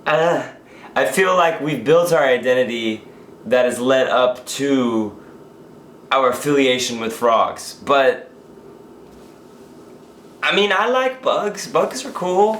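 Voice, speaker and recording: Hoarse, tired young man, echoey room. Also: mid-range voice, lively intonation, takes it slowly, pauses often, fairly bright tone, a little hiss or hum. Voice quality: rambling, self-interrupting. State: hoarse, losing his voice from illness; tired after a long work shift. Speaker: vlogger. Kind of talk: casual daily vlog.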